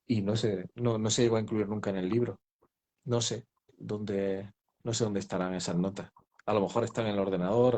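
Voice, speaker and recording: A man with a low, warm voice and a soft Granada accent. He talks slowly and reflectively, restarting his sentences as he gathers his thoughts. He is speaking from home over a video call. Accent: Andalusian Spanish from Granada. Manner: reflective, many pauses and self-restarts. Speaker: guest author. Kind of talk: interview conversation. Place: home room.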